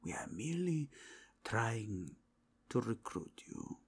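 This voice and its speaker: A man voicing a suave, probing cardinal of a fantasy Inquisition in an ASMR roleplay, measured and formal, with a breathy, whispery delivery. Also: medium pitch, big pitch swings, full character voice. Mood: persuasive, hushed.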